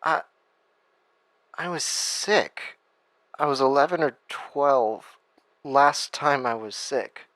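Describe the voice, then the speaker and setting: A man's voice: mid-range voice, a bit bright, no room sound. A man playing a vulnerable young captive in a horror audio drama, speaking hesitantly. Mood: dazed, reluctant. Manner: hesitant, halting, subdued. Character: neglected, frightened young man held captive, groggy.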